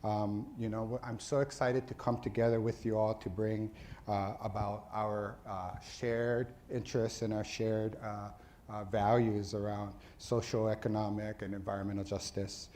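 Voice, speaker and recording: A middle-aged man from Hawaii, a dean addressing his school's faculty in an earnest, halting way, with many fillers and restarts. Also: on the low side, flat delivery, a little mellow, a little hiss or hum. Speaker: dean of a social work school.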